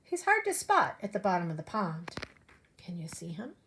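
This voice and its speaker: A woman preschool teacher telling a story to young children in an expressive way, with simple phrasing and direct questions. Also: fairly low pitch, moderate pace, slight background noise.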